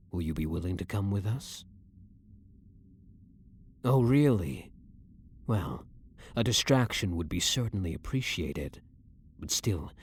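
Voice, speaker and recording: A man playing a blunt, dominant, brooding vampire hunter who is secretly a vampire, in a husky voice with measured, formal, theatrical phrasing for an ASMR roleplay. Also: deep voice, a little mellow, exaggerated voice. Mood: resolute, tender. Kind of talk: ASMR roleplay audio drama acting.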